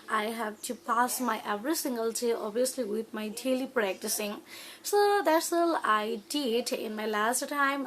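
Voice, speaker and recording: A young Bangladeshi woman, a native Bengali speaker, hosting her own channel and talking to viewers in halting English full of "uh" fillers and restarts. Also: on the high side, a little hiss or hum, rough recording. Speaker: YouTube channel host. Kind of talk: casual talk to camera on learning English.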